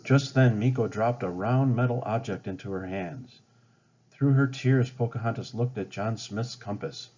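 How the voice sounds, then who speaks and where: A man reading a children's storybook aloud with dramatic, expressive narration. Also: on the low side, moderate pace. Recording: slightly dull, band-limited sound.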